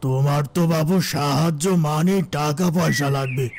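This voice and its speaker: A man's voice: fairly high pitch, steady tone, normal speed, creaky, background noise. A man voicing a stingy, scheming village moneylender in a cartoon folk tale, curt, scornful and bossy. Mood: dismissive. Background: music.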